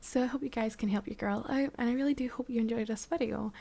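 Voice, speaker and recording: A woman's voice: a little high, fairly even intonation, fast, creaky, mellow. A woman with an English accent chatting casually and affectionately to viewers as an ASMR creator, half-whispered, her voice a little off from being sick.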